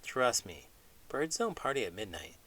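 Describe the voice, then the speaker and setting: A man reading a children's storybook aloud with animated, dramatic emphasis, in the manner of an elementary school teacher. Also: mid-range voice, moderate pace, husky, rough recording. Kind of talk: expressive storybook read-aloud with puppet banter.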